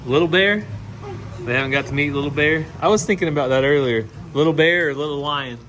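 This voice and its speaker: A man's voice: a little high, fairly quick, fairly bright tone. A man chatting casually as a farm vlogger, with a plain-spoken, folksy way of talking. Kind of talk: casual vlog chat. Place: outdoors on a farm. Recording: noisy handheld outdoor farm recording.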